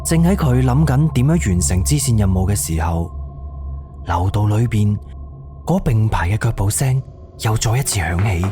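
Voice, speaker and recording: Low-voiced male suspense narrator. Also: animated, normal speed. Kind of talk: scripted suspense story narration. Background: background music.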